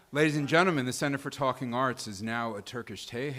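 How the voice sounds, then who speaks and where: A man co-hosting a live table read, talking off the cuff in a wry, playful and deliberate way. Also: medium pitch, expressive, a bit bright. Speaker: co-host and performer at a table read. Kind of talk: casual off-the-cuff stage talk. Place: live event venue before a small audience.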